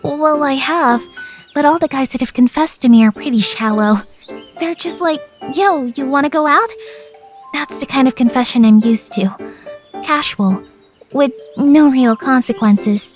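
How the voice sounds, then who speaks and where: A woman voicing an earnest, kindhearted high school senior girl in an anime fan radio play, talking in a casual, girlish way. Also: unhurried, soft background music. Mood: torn.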